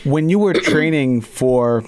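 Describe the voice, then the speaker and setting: A man's voice: medium pitch, expressive, slow pace, a little mellow. A male radio host speaking in a studio. He keeps it upbeat and short, asks easy questions, and drops in station announcements. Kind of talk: radio interview hosting.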